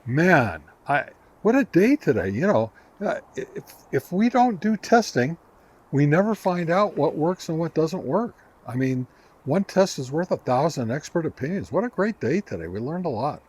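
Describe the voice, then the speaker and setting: A man's voice: fairly high pitch, very animated, normal speed, breathy edge. A man hosting a casual gear test review, talking in a rambling, folksy, conversational way. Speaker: host reviewing ham radio gear.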